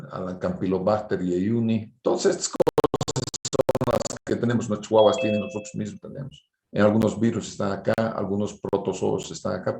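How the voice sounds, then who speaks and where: A low-pitched man speaks as a university lecturer, his voice gravelly with fry. He explains informally and didactically, often closing statements with little tag questions. The sound is roomy and echoing, as in an online class. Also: background noise.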